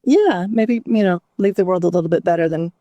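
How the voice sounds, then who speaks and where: A middle-aged woman from New Mexico, a somatic therapist talking reflectively in an interview, with many pauses and ums. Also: on the low side, warm tone, no echo, dull audio. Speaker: guest, somatic therapist and author. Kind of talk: candid interview conversation.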